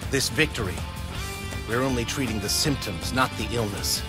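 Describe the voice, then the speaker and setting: A man plays an idealistic, gracious young lord in a game cutscene. His medium-pitched voice is formal and sincere, with music clearly playing behind it. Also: animated, moderate pace, lightly acted. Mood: earnest, grave. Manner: formal, earnest. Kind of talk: video game cutscene acting.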